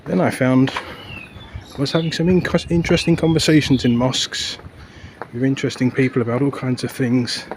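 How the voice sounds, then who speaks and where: A man's voice: mid-range voice, steady tone, moderate pace, rough recording. A man vlogging as he walks through the city and a park, out of breath and rambling in a chatty way with lots of fillers. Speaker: travel vlogger. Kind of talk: casual walking commentary. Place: outdoors on city streets and a park walkway. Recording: outdoor mic with traffic noise. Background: traffic and city ambience.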